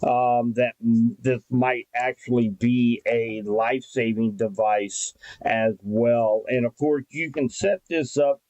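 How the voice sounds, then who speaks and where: A man's voice: mid-range voice, fairly even intonation, slow pace, hardly any pauses, a little mellow, no echo, rough recording. Folksy American man, close dry sound. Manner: folksy, conversational. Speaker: local radio presenter. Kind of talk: informal explainer and product recommendation.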